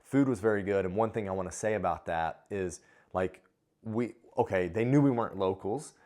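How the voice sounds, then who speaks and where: Low-voiced man chatting casually. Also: moderate pace. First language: English. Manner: relaxed, rambling, with frequent fillers and restarts. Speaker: co-host of expat travel channel. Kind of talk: casual conversational chat.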